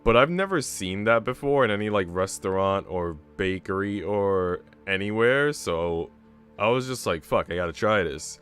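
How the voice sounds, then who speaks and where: Man, low voice, casual livestream chat, profane bro-ish slang, faint music behind. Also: lively intonation, moderate pace, a bit bright, clear, resonant tone. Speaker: streamer.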